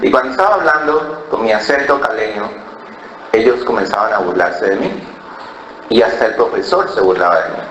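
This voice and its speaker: A man's voice: lowish voice, expressive, a little fast, fairly bright tone, roomy sound, a little hiss or hum, low-quality recording. A man, a pastor with an accent from Cali, Colombia, preaching a sermon and telling a personal story, often asking "¿verdad?". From born in Ecuador, raised in Colombia. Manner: storytelling, mimics other voices, frequent "¿verdad?". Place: church.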